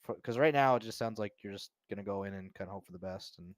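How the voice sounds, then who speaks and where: A man coaching players through a replay of their match, explaining at length in a relaxed way with many fillers. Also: on the low side, quick pace, dry recording. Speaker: coach reviewing match footage. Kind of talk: casual spoken game review.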